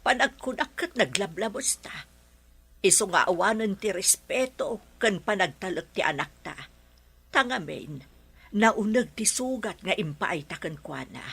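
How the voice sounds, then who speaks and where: A woman playing a forgetful elderly Ilocano grandmother in a radio drama, with a husky, drawn-out voice. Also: medium pitch, animated, fairly bright tone, exaggerated voice. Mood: worried, regretful. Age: older.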